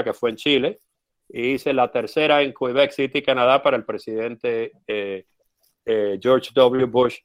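Interview guest, male, second-language speaker, steady explanatory delivery with hesitations. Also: medium pitch. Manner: measured, frequent "eh" fillers, explanatory. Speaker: interview guest, former US policy adviser. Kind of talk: conversational interview answer.

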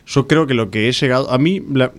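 A man's voice: medium pitch, brisk. A young man from Uruguay with a mixed Uruguayan, Argentine and Mexican Spanish accent, an actor and musician speaking in a casual interview, rambling and correcting himself as he goes. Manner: rambling, self-correcting, earnest.

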